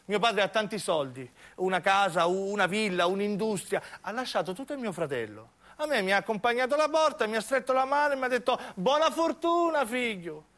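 A man performs a disappointed southern Italian florist in radio theatre. The voice is high and emphatic. He sounds bitter and aggrieved, like a wronged son. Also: animated, brisk, few pauses, a bit bright.

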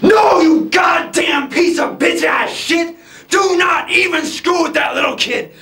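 A man's voice: extremely high pitch, takes it slowly, vocal fry, pushed and bright, shouting. A man screaming aggressive, profane threats on an amateur recording. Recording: echoey room with crowd noise.

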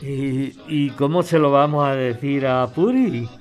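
A man's voice: mid-range voice, a little slow, soft background music, natural voice. A man acting an older, old-fashioned, devoted suitor from in a podcast drama, earnest and a little hesitant. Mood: earnest, tender. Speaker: actor in an audio drama.

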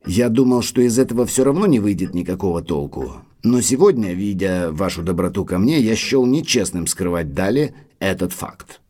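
Husky, deferential butler. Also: male voice, lowish voice, animated, dark tone. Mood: humble, apologetic. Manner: measured, respectful, formal. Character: deferential middle-aged male butler, loyal and discreet. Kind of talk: audio drama acting.

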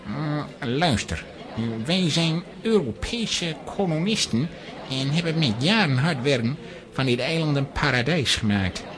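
A man's voice: on the high side, expressive, unhurried, a crowd in the background. A man playing a worried settler doctor who explains earnestly in a children's audio play. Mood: earnest, troubled. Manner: earnest, expository storytelling.

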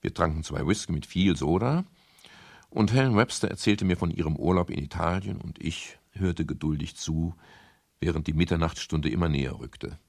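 Radio noir private eye: deep, husky, dry and wry, world-weary. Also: male voice, normal speed, no echo. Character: world-weary male private detective narrating his case. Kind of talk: 1970s German radio crime drama, first-person narration and dialogue.

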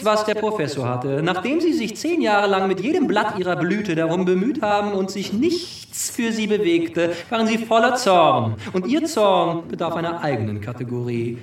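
A grandiose, long-winded detective holds forth in a high voice. He pronounces verdicts on suspects with ceremonious pomp, like a stage comedy sleuth. The sound is distant and swims in room echo. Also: very animated, a little slow. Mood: accusing, grandiose. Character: pompous male detective unveiling a murderer to the suspects. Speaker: actor playing a detective. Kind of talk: theatrical comic monologue before an audience. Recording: echoey hall, distant microphone.

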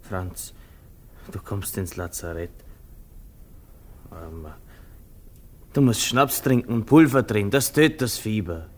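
An actor in a German radio play voicing a plain, concerned soldier comrade. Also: male voice, lowish voice, expressive, takes it slowly, long pauses. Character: plain, concerned soldier comrade advising his sick friend.

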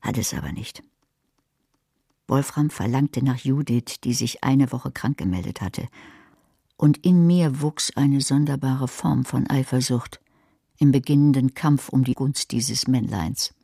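A woman's voice: extremely low pitch, measured pace, gravelly, dark tone, slightly rough, no room sound, exaggerated voice. A woman narrating a radio play as a calculating, wry character, with dry, sardonic delivery.

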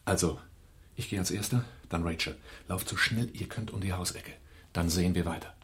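A hard-boiled man on the run in a radio drama. His voice is deep and rough-edged with huskiness. He speaks tersely and matter-of-factly, like someone used to giving orders. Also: moderate pace. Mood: grim, controlled. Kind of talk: German radio drama acting and first-person narration.

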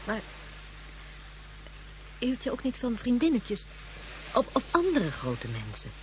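A patient woman caring for children, coaxing and soothing. Her voice is high and very lively in pitch, in radio drama style with faint noise. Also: takes it slowly, full character voice, low-quality recording. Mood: gentle, probing. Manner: gentle, questioning, soothing.

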